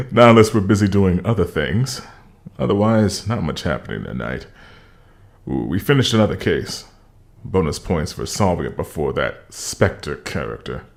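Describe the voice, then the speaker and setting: A man's voice: deep voice, animated, unhurried, creaky, exaggerated voice. A man acting a weary, wry police detective in a noir audio drama, speaking with dry understatement. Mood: teasing. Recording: roomy echoing reverb with music underneath.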